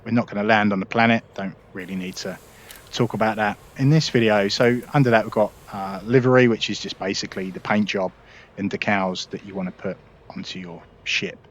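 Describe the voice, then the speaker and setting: A man hosting a casual gameplay tutorial, relaxed and explanatory, talking viewers through each step. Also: on the low side, fairly quick. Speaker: gaming video host.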